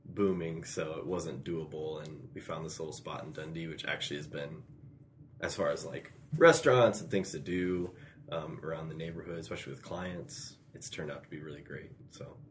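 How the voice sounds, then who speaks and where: A man with a notably deep voice talks through a story in a loose, casual way. He chuckles easily between thoughts. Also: moderate pace, slight background noise. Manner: relaxed, laughs often. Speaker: guest, graphic designer. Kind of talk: casual interview chat.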